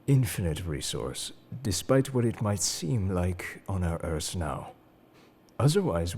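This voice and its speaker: A man playing a suave, enigmatic, quietly world-weary secret agent in an intimate, flirtatious audio roleplay, speaking to the listener in a coaxing, theatrical way. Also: on the low side, full character voice. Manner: smooth-talking, coaxing, theatrical. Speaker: voice actor in fan audio roleplay.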